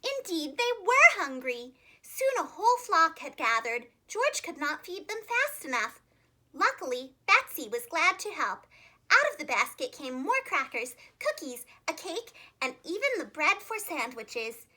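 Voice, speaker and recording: Very high, animated woman storyteller. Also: measured pace. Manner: animated, expressive, carefully enunciated. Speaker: storyteller, preschool teacher. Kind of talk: children's storybook narration.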